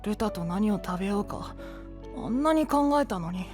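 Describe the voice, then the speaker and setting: A sweet boyish voice, high for a male, with a little sulk in it. He plays a childlike young knight in an anime drama, soft music underneath. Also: expressive, moderate pace. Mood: sulky, disappointed. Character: sweet, slightly pouty boy knight, younger twin type. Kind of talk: anime-style voice drama acting.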